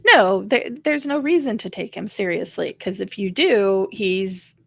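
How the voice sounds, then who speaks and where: Casting: woman co-host, gravelly fry in the voice, wry and explanatory, recorded close and dry. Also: medium pitch, expressive, measured pace, fairly bright tone, dry recording. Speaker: co-host of political rant show. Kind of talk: casual political commentary.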